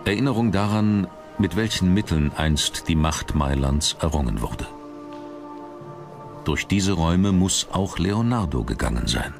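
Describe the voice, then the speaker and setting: A man narrating a documentary from a script in a measured, dramatic storytelling manner. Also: low voice, animated, vocal fry. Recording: music under the narration. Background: background music.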